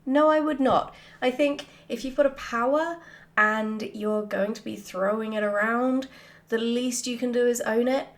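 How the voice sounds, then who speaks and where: A woman chatting casually and answering questions in an animated, giggly, self-deprecating way, as a writer hosting a Q&A. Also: fairly high pitch, a little slow.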